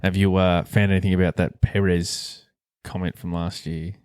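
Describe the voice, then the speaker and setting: The low-voiced bloke steering a sports podcast from a well-deadened room, thinking out loud and ribbing his co-host. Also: little pitch movement, normal speed, clean tone, dry recording, clean studio sound. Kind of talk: casual sports chat.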